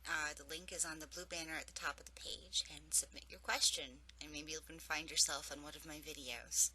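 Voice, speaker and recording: A woman's voice: low voice, fairly even intonation, a little fast, bright, forceful tone, breathy edge, rough recording. A woman coach with a cold, speaking earnestly and encouragingly about intuition and spirituality in an advice vlog. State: has a cold, losing her voice. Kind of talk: advice vlog answering viewer questions.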